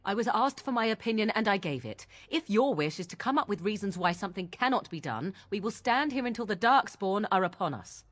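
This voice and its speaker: A woman's voice: mid-range voice, expressive, brisk, few pauses, natural voice. A woman acting as a haughty young witch in a fantasy game. Her delivery is archly formal and mocking, swooping through a wide range with cold disdain. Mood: cold, imperious. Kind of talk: fantasy video game dialogue.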